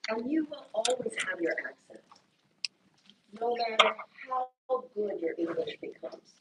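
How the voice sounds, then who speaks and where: A woman's voice: a little high, animated, measured pace. A woman English teacher from Indiana with an Indiana accent, leading a class in a patient, encouraging, explanatory way. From Indiana, USA. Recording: distant mic in a classroom, faint noise.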